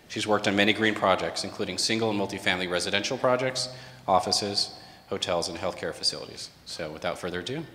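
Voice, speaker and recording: Fast, bright-voiced man hosting a panel. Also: sits low, slight background noise. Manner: rapid, reading a prepared bio. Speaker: event host, company CEO. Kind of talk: introducing speakers at a panel event. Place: event space with long reverb. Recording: reverberant room, faint ambience.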